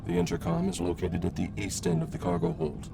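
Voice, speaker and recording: A man playing a calm, formal ship's AI in a sci-fi radio drama, speaking in measured, precise, deadpan lines. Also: low-pitched, vocal fry, very noisy, full character voice. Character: calm, formal male ship's computer AI, helpful and unhurried. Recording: music bed under the voice.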